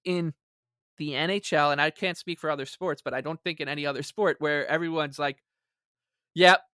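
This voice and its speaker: A man's voice: on the high side, steady tone, moderate pace, pushed and bright, clean tone, dry recording, clean studio sound. A man co-hosting a podcast in casual sports talk, speaking in an exasperated, emphatic, sarcastic way. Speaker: podcast co-host.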